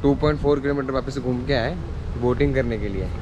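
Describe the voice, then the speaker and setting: A man's voice: mid-range voice, fast, muffled recording, noisy background. A young man vlogging outdoors by a lake, indignantly complaining to camera about being cheated. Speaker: motovlogger. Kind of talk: casual vlog chat.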